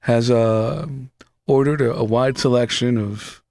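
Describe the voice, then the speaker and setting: Brief: New man, 30s, gravelly and dry, loose profane podcast co-host. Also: medium pitch, steady tone, measured pace, a little mellow, no echo. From New York City. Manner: loose, profane, full of fillers and asides. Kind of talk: casual chat. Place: small studio room, indoors. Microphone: studio mic close up.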